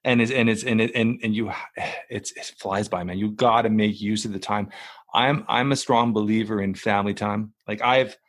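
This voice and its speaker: A man's voice: fairly low pitch, steady tone, normal speed, no room sound, studio quality. Blunt middle-aged male podcast host. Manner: blunt, emphatic, swears casually. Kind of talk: casual interview talk.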